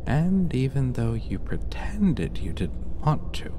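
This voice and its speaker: Man acting a slow, seductive, menacing male vampire. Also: mid-range voice, very animated, noisy background. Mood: condescending, possessive. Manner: slow, teasing, condescending, murmuring with breathy whispers. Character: psychotic, possessive male vampire, mocking and seductive. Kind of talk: gothic ASMR roleplay, intimate monologue.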